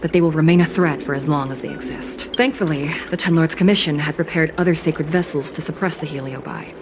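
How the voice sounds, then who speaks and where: A woman playing a composed, emotionless judge with an artificial body, speaking in a measured, formal way for a video game. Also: low voice, background noise, natural voice. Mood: grave, formal.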